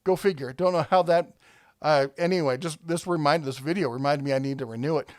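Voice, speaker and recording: A man's voice: fairly high pitch, fairly quick, dry recording, studio quality. A man hosting a casual product review, talking conversationally and thinking aloud as he rambles along.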